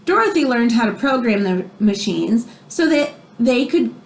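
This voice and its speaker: Woman, teacher, higher voice, very lively intonation, expressive children's read-aloud, explanatory, emphatic phrasing. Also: normal speed, vocal fry. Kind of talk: expressive read-aloud of a children's nonfiction book with brief asides.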